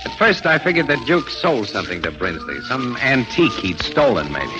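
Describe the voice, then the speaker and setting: An actor playing a shrewd, easygoing crime photographer in a 1940s radio drama. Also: male voice, mid-range voice, sing-song, moderate pace, lightly acted. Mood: musing. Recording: dull old radio recording with music.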